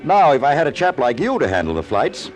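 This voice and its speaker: An actor playing a genial, principled British air freight operator in 1950s radio drama, politely spoken. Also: male voice, mid-range voice, wildly swooping pitch, a little fast, soft background music, poor audio. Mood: genial, reasonable.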